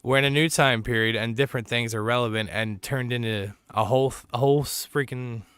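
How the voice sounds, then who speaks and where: Host of a casual horror panel, clean dry close-mic sound, cursing and laughing easily. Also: male voice, mid-range voice, steady tone, brisk, a bit bright, clear, resonant tone, no room sound. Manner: laughing often, profane, loose. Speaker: podcast host. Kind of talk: casual live panel chat.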